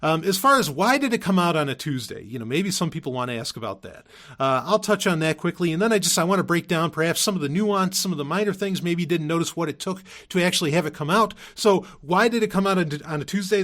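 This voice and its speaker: A man's voice: fairly high pitch, expressive, fast, a bit bright. A man hosting a podcast in a casual solo monologue, rambling and emphatic, often repeating phrases as he circles back. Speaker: podcast host and audio drama writer.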